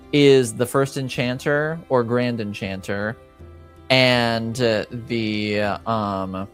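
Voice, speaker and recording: Slow-paced man running a tabletop game. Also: medium pitch. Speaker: game master. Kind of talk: improvised narration and rules talk in a tabletop game.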